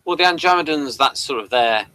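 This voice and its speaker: A man's voice: mid-range voice, lively intonation, brisk, bright, forceful tone, no room sound, poor audio. A man hosting a casual discussion of an audio drama, asking the others for their views. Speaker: podcast host.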